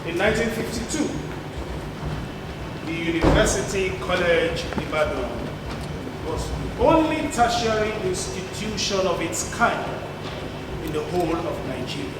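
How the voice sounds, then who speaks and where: Far from the mic in a noisy, reverberant hall, an actor plays a male fraternity founder recounting the past. His delivery is slow and theatrical, pitched high, as stage narration. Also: animated. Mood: reminiscing. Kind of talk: scripted stage narration, declaimed to an audience. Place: theatre stage, picked up from afar.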